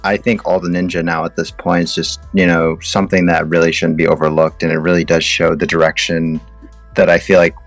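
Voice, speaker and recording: A man's voice: low-pitched, little pitch movement, a little fast, no room sound, soft background music. A man co-hosting a podcast, speculating casually as a fan in a group chat. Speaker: podcast co-host.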